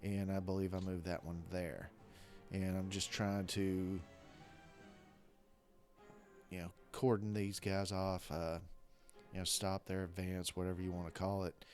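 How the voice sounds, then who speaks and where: A man with a hoarse, rough voice from a weekend of coughing, talking casually and haltingly through a strategy game. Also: deep voice, level tone, darkish tone, soft background music. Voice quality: rough-sounding voice. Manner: rambling, hesitant, many restarts. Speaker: strategy game video creator. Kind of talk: casual gameplay commentary.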